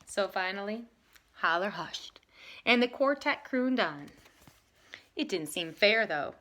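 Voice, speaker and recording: A woman reading aloud to children in an expressive style, leaning dramatically on key words. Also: medium pitch, takes it slowly. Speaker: storybook reader.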